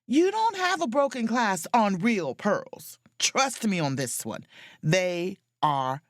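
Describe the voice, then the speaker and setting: A woman playing a confident, sharp-witted, proud and flirtatious sleuth in an audio drama, with an emphatic, theatrical delivery. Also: mid-range voice, very animated, slow, no room sound, studio quality. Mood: scheming, excited. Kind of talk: audio drama acting with first-person narration.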